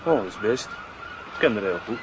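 A man's voice: lowish voice, animated, fairly quick, noisy background, natural voice. A man playing a coarse, cocky teenage village schoolboy in a radio drama, blunt and offhand in his talk. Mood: nonchalant.

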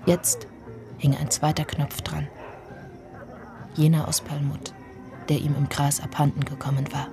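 Low-voiced woman narrating a radio drama. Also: little pitch movement, over loud music. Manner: measured, short deliberate phrases. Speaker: actor narrating. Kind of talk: literary first-person radio drama narration.

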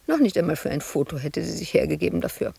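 Husky, brooding confined woman artist. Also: deep voice, big pitch swings, fast talker, warm tone, no room sound, exaggerated voice. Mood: bitter recollection. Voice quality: husky, creaky, often breaking into whisper. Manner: brooding, intimate, shifting between whisper and outburst. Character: confined woman sculptor, embittered, lonely, wistful. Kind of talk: radio drama monologue.

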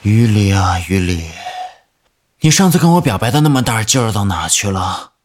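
A man's voice: lowish voice, expressive, normal speed. A man acting a confident, teasing young lover in a romantic audio drama. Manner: teasing, self-assured. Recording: music bed under the voice.

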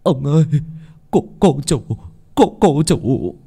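A man's voice: medium pitch, sing-song, quick pace, mellow, breathy edge. A man voicing a servile older farmhand, flustered and panicky, who stammers and wails his words. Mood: panicked. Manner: stammering, breathless wailing and exclaiming.

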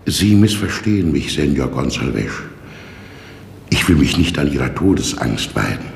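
An actor playing a polite, curious and dryly sardonic novice jailer, matter-of-fact, in 1960s German radio drama style. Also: male voice, extremely low pitch, very animated, normal speed, creaky, full character voice. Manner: courteous, dry, matter-of-fact. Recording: echoey, reverberant, noisy old radio recording.

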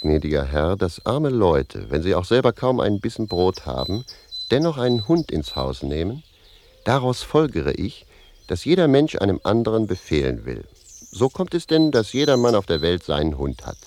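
A man's voice: lowish voice, sing-song, normal speed, a little mellow, slight background noise. A man playing a talkative, cheeky servant in a radio play, philosophising with sly mock deference.

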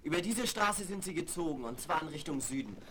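A thoughtful adolescent boy, low and boyish with very varied intonation. He sounds practical, in the manner of a seventies radio play. The vinyl crackles faintly. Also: lively intonation, a little fast. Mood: excited, determined. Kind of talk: 1970s German radio play acting. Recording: crackly 1970s vinyl record.

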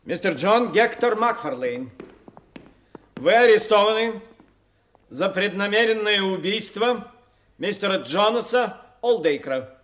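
An actor playing a self-assured, officious police inspector in a radio play, with a brisk, formal, smug manner. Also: male voice, high-pitched, expressive, fairly bright tone. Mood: stern.

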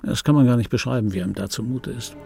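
An actor playing an embittered, wistful dubbing actor in his fifties, delivering a dry, brooding radio play monologue in a husky voice. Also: mid-range voice, quick pace, over music, close to an everyday voice. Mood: hurt, resigned. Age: 50s. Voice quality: husky, gravelly.

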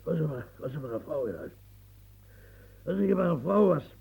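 An actor in a radio play voicing a husky, fiery old marshal in his seventies, who rants and stammers when flustered. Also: fairly high pitch, expressive, normal speed, a little mellow, full character voice. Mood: indignant flustered. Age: 70s. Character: old marshal of seventy-three, fiery, long-winded reformer.

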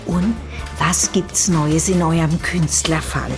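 A woman's voice: sits low, steady tone, takes it slowly. A woman playing a nosy, casual office worker chatting in a breezy, colloquial way in a radio drama. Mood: casual, curious. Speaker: actor. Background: music.

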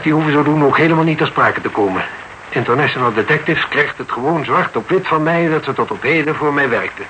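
A man's voice: fairly high pitch, sharp tone. An actor in a radio drama playing a cynical, blunt older businessman with a formal, haughty manner. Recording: noisy radio drama recording.

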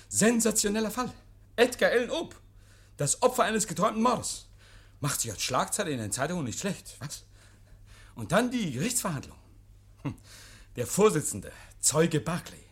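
An actor playing a nervous, guilty man in a 1950s radio play, feigning jovial innocence, stammering and repeating himself, hushed then agitated. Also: high voice, slightly rough, exaggerated voice. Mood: manic mockery. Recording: vintage 1950s radio recording.

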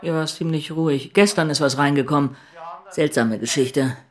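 An actor playing an inquisitive police colleague in a radio drama, casual but probing and insinuating. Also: male voice, a little high, moderate pace, lightly acted.

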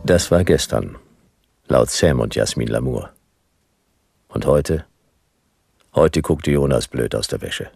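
A hard-boiled private eye with an extremely low, gravelly, husky voice. He is laconic and wry, with swings in pitch, in a noir radio play with music behind him. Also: animated, slow pace, long pauses, darkish tone, exaggerated voice. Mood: wry narration. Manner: clipped, laconic, dry. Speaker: detective narrator. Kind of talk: noir radio drama narration and dialogue.

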